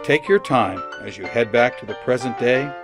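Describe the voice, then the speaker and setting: A man's voice: mid-range voice, moderate pace. A man delivering a scripted sign-off as an announcer in old-time radio style. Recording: music under the announcement. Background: music.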